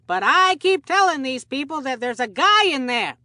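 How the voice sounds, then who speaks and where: High bright old grandpa baseball announcer, folksy n rambly, forgetful, cartoon game style. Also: big pitch swings, moderate pace, dry recording, clean studio sound, heavily acted. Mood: rambling, folksy. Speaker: sports commentator in a children's baseball game.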